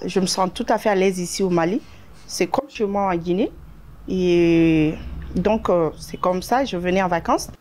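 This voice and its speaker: A woman's voice: lowish voice, moderate pace, a little mellow. A woman from Guinea, a beautician, answering interview questions hesitantly and searching for words. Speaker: beautician and salon owner.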